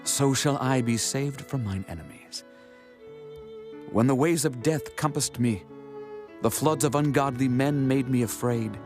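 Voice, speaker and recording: Casting: male actor for reverent warrior king, medium pitch, measured dramatized scripture delivery over music. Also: a little mellow, natural voice. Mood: reverent praise. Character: King David, devout warrior king reciting a psalm of praise.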